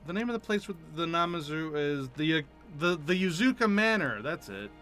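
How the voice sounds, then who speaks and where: A male game streamer with a high, bright voice that swings up and down. He comments casually as he plays, with a bit of gravel in his tone and light music behind him. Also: big pitch swings, brisk. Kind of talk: casual commentary and reading game dialogue aloud.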